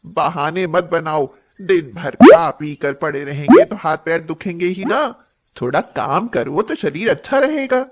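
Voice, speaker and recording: A woman's voice: lowish voice, sing-song, unhurried. A woman playing a bossy, cruel young daughter-in-law in a moral story cartoon, with a nagging, sarcastic, haughty manner. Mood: harsh, scolding.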